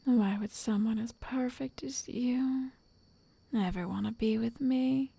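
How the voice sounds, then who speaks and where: A woman playing a possessive, lovestruck witch who coaxes and controls in a soft, breathy, often whispered ASMR roleplay voice. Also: on the high side, no echo, full character voice. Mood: obsessive, confiding. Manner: slow, tender, condescending endearments.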